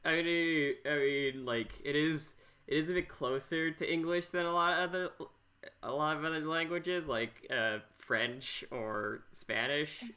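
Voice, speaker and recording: A man's voice: fairly high pitch, measured pace, bright, forceful tone. A man hosting a podcast in casual interview chat, often stammering and repeating the starts of his phrases. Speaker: podcast host.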